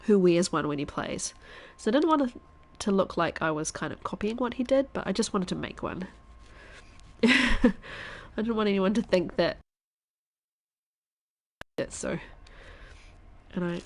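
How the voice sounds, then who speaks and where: A woman in her thirties, a stuffy-nosed streamer chatting casually while she plays, thinking aloud and now and then dropping to a quiet whisper. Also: fairly low pitch, animated, a little slow, gravelly, pauses often. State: congested, stuffy nose. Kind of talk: casual live-stream chat while gaming.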